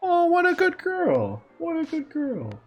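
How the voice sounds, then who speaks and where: A man's voice: very high voice, wildly swooping pitch, measured pace, faint music behind. A man hosting a livestream, chatting casually and affectionately with his viewers. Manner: affectionate, cooing to a pet. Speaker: livestream host.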